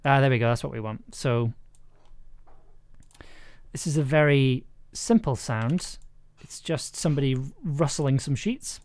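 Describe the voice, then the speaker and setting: A woman thinking aloud in casual livestream commentary as she works, sometimes muttering, sometimes playfully animated. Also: rumbling low, unhurried. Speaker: streamer editing an audio drama. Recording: keyboard clicks and typing noise. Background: computer typing and mouse clicks.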